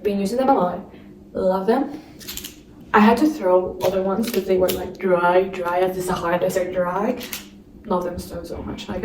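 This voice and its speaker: A woman's voice: medium pitch, mellow, roomy sound, recorded from a distance, low-quality recording. A young woman vlogging, chatting and gushing, full of fillers, with a non-native accent. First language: not English. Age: young adult. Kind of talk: casual chat.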